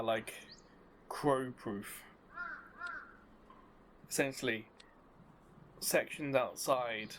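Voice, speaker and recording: A man's voice: medium pitch, a bit bright, a little hiss or hum. A man giving casual commentary as the host of a video game playthrough. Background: game music.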